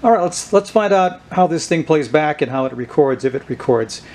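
A man hosting a casual hands-on demonstration, explaining things in an unhurried, informal way. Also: a little high. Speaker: host of a vintage electronics video. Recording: clear steady background noise.

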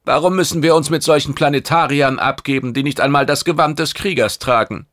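A man's voice: fairly high pitch, brisk, fairly bright tone, dry recording, studio quality, voice pushed up, lightly acted. A man voicing a haughty, aggressive alien warrior with a sneering, belligerent manner in a science fiction audiobook. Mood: contemptuous.

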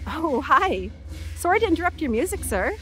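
Woman playing a higher-voiced, curious radio host. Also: sing-song, moderate pace, fairly bright tone. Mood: friendly, curious. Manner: friendly, inquisitive, wry. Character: curious, chatty female Canadian radio show host. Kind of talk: audio drama acting.

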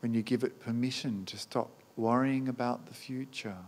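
A man guiding a meditation as a teacher, speaking gently in short, unhurried phrases with long pauses. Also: mid-range voice, fairly even intonation. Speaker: meditation teacher, Buddhist monk. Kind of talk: guided meditation.

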